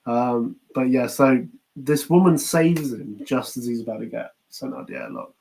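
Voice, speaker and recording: A man's voice: mid-range voice, moderate pace, a little mellow, rough recording. British man chatting as podcast co-host. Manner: chatty, acts out quoted lines in passing. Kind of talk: casual chat recapping a TV series.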